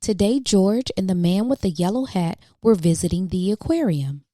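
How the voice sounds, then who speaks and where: A woman with a soft, mellow voice reads a children's picture book aloud. Her pitch moves in lively swoops as she plays up questions and exclamations for young listeners. The sound is dry and close. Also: moderate pace, no room sound. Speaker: children's storybook reader, channel host.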